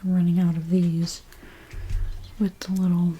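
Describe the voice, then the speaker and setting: A woman doing a soft-spoken ASMR role play as a dressmaker, gentle and unhurried, her breathy voice often slipping into a whisper. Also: lowish voice, completely flat delivery, faint music behind. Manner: gentle, unhurried, reassuring explanations to a client. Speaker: ASMR creator role-playing a dressmaker at a fitting.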